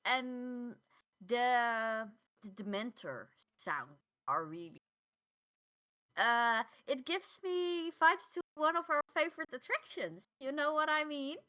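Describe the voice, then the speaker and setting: A woman co-hosting a podcast in casual chat, halting and repeating words as she finds her phrasing. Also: on the high side, expressive, bright, forceful tone. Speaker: podcast co-host.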